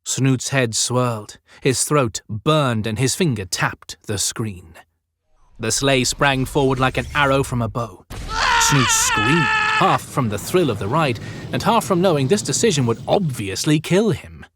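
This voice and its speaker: A man narrating an audiobook in a theatrical, suspenseful style with deliberate emphasis. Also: mid-range voice, big pitch swings, a bit bright, a little hiss or hum. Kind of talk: dramatic scripted fiction narration.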